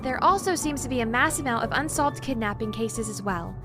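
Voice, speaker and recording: An earnest, gentle-mannered young idealist, played by a woman in an audio drama. Her high voice is polite, careful and a little tentative, set over clear background music. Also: lively intonation, brisk, close to an everyday voice. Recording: music behind the voice.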